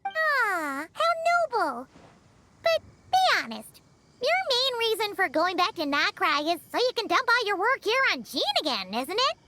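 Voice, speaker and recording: Childlike game sprite: squeaky, cheeky, blunt, bright tone, wildly animated delivery. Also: female voice, a little slow, a little hiss or hum, full character voice. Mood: sarcastic, incredulous. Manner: cheeky, sceptical, exclamatory. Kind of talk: video game cartoon voice acting.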